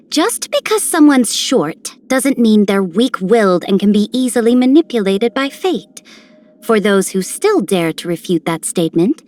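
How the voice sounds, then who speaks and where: A woman voicing a haughty, sharp-tongued commander in a video game, imperious and curt. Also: fairly high pitch. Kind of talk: scripted game character lines.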